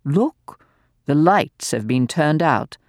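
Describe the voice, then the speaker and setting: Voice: medium pitch, animated, slow, dry recording, clean studio sound. A reader voicing a spiteful, venomous old aristocratic woman who sneers and gloats theatrically. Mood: sneering.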